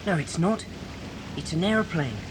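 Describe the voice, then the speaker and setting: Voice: medium pitch, expressive, normal speed, close to an everyday voice. A performer playing a blunt, brave schoolboy with an English accent in a children's audio drama. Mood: matter-of-fact. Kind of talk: children's radio drama acting. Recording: hissy old cassette tape.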